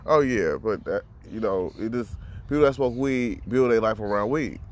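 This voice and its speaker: A man in his thirties with a fairly low voice, speaking in a frank, loose personal monologue. He swears freely and stumbles over repeated words as he works out what he means. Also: normal speed. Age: 30s. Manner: blunt, profane, repeats words while thinking. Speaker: vlogger.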